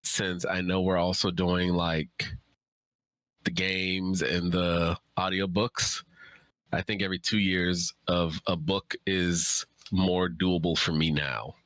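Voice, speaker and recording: A man's voice: low voice, flat delivery, slow pace, a bit bright, no room sound. A man in his thirties chatting casually and informally as an author on a livestream Q&A. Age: 30s.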